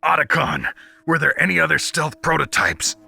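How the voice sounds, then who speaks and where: An actor playing a gruff, cynical, battle-hardened covert soldier in a video game, terse and sardonic with a gravelly voice. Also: male voice, a little high, big pitch swings, moderate pace, pushed and bright, faint music behind, heavily acted. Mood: alarmed.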